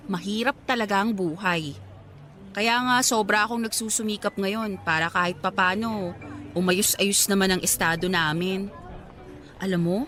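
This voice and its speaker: An actress playing a shy, sweet young provincial office worker in a radio drama, expressive and girlish. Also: mid-range voice. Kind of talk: Tagalog radio drama acting.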